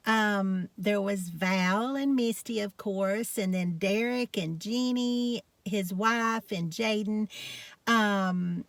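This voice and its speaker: Slow-talking woman, strong South Georgia country accent. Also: medium pitch, no room sound. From South Georgia, United States. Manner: folksy, chatty, rambling. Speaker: health and fitness vlogger. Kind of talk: casual chat to camera.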